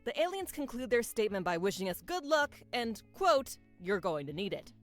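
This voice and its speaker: A woman's voice: fairly high pitch, sing-song, faint music behind. A woman playing a polished, formal female news anchor reading a scripted bulletin in a video game. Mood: composed, newsy. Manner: formal, measured newsreader delivery.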